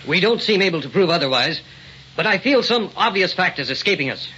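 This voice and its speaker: A man's voice: sits high, expressive, fairly quick, sharp tone, breathy edge, exaggerated voice. An actor playing a polite but insistent, learned museum man in old-time radio drama, speaking in precise, formal phrases. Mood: thoughtful. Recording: dull, noisy old radio recording.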